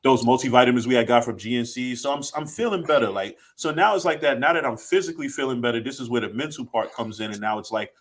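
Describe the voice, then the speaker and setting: A man's voice: mid-range voice, quick pace, hardly any pauses. Late-30s guy rambling on a podcast, easygoing, lots of "you know". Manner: rambling, self-reflective, many restarts and "you know". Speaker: podcast co-host. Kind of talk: casual podcast chat.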